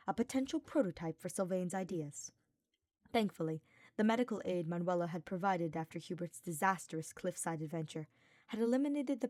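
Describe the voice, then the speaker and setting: A woman's voice: lowish voice, darkish tone, no echo. A woman reading a scripted story aloud as its narrator. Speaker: fan fiction podfic narrator.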